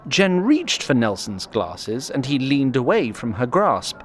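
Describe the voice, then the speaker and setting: A man's voice: mid-range voice, sing-song, unhurried, slight background noise. A man narrating a scripted fantasy audio drama in a literary, wry storytelling manner.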